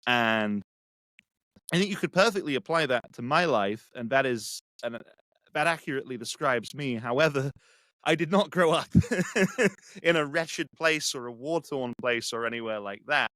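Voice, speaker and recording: A man from England with an English accent, a guest speaking reflectively and haltingly with many restarts. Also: mid-range voice, expressive, bright, forceful tone, no room sound. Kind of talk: casual conversation.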